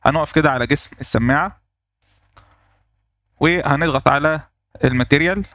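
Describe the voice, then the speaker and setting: A man with an Egyptian Arabic accent walking through a software tutorial step by step, pausing on fillers as he works. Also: a little high. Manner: explains step by step, thinking aloud with fillers. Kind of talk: screen-recorded software tutorial.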